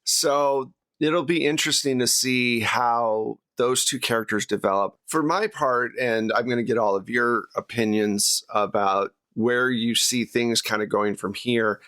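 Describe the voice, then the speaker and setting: A man's voice: mid-range voice, measured pace, hardly any pauses, sharp tone, smooth, dry recording. A man speaking casually as host and game master in a round-table discussion.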